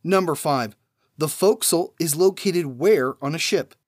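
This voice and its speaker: A man hosting a trivia podcast, reading numbered questions and then giving each answer after a pause, in a measured way. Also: on the high side, slight background noise.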